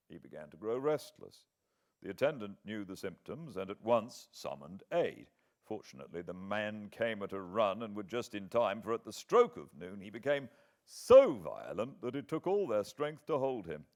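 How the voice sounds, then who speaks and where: A British man narrating a classic novel as an audiobook, with measured, expressive dramatic storytelling. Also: fairly low pitch. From Britain.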